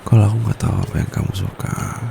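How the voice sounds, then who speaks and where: Casting: male actor as smug, dominant private tutor for ASMR roleplay. Indonesian accent, extremely low gravelly voice, teasing drawn-out murmurs slipping into whispers. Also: little pitch movement, warm tone. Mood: seductive, coaxing. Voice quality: very deep, gravelly, breathy murmur. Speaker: amateur voice actor. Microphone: close condenser microphone. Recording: close mic with clear background noise.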